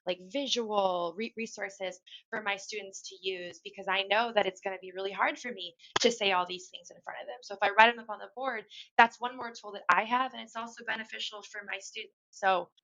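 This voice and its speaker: A woman's voice: medium pitch, fairly even intonation, fairly quick, pushed and bright. A woman who stutters, repeating words and restarting phrases, talking as a teacher guest in a casual podcast conversation. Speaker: elementary school teacher, guest. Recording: dull lo-fi audio.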